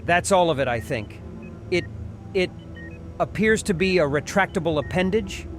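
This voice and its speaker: Male hospital doctor, horror radio play: fairly high voice, clipped, authoritative, music bed behind. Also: expressive, normal speed. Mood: focused, commanding. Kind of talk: horror audio drama acting.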